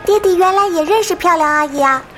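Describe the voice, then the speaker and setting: A woman voicing an eager, innocent little child in a modern audio drama, with an excitable childlike manner. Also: very high voice, fast, music in the background. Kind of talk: modern Chinese radio drama acting.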